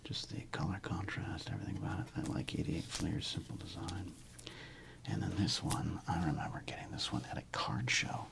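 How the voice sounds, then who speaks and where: A man whispers in a low register, with very heavy creak running through his words. He sounds like an ASMR host thinking aloud, unscripted and rambling, in a relaxed, casual way. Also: brisk. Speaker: host of a sports card ASMR channel. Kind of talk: ASMR, unscripted whispered commentary on a card collection.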